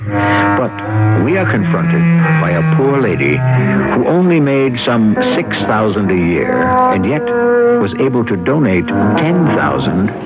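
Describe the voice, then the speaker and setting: Deliberate low-voiced male mystery host. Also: sing-song. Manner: deliberate, rhetorical. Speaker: radio drama host and narrator. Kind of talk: theatrical scripted narration. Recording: dull vintage radio, loud music behind. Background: music.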